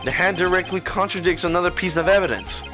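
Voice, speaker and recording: A man's voice: sits high, fairly even intonation, quick pace, music in the background, lightly acted. A man voicing a nervous, earnest young defense lawyer from a courtroom video game, hesitant yet dramatic. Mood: insistent. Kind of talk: video game voice-over reading.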